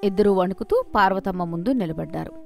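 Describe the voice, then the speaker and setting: A woman's voice: medium pitch, lively intonation, fast, creaky, darkish tone. A woman storyteller reading a scripted moral folk tale.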